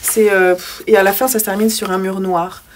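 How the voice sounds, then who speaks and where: A woman's voice: medium pitch, level tone, fairly quick. Hesitant woman reviewing a book. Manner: hesitant, many fillers and restarts. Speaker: book vlogger. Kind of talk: casual book review with read-aloud poems.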